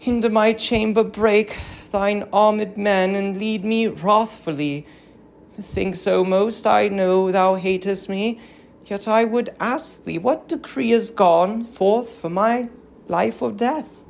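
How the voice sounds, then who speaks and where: A performer voicing a poised, gentle young queen, speaking composed archaic verse in a dramatic tragedy reading. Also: mid-range voice, slow, vocal fry. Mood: composed appeal.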